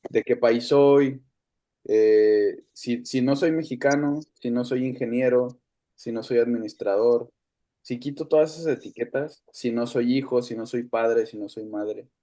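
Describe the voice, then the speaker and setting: A man teaching a spiritual class over a video call. His voice is mellow and warm, at a middle pitch, calm and measured with reflective pauses. The sound comes through a computer mic, and at times his voice drifts away from it. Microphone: computer mic on a video call, no headphones.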